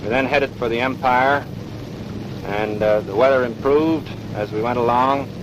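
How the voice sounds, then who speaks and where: American male naval officer, formal measured interview delivery, clearly noisy 1940s field recording. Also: medium pitch. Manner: measured, matter-of-fact. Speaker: navy officer.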